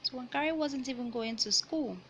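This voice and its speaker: A woman reading a children's picture book aloud in a deliberate, teacherly way, pausing to explain and repeat key points. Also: sits high, fairly bright tone, slight background noise. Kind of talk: read-aloud storytelling with explanatory asides.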